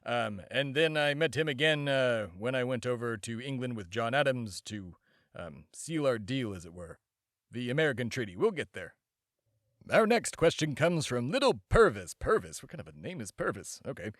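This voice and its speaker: A man's voice: mid-range voice, big pitch swings, moderate pace, dry recording, studio quality. A man playing a bombastic, self-important immortal embodiment of America in a comedy podcast monologue. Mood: smug. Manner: pompous, shouting announcer bursts, rambling asides.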